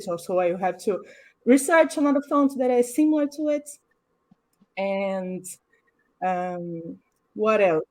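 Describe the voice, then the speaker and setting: Woman explaining, slightly high voice. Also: a little mellow. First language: Portuguese. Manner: thoughtful, pauses to search for words. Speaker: graphic designer. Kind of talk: panel discussion, explaining her process. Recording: online panel stream.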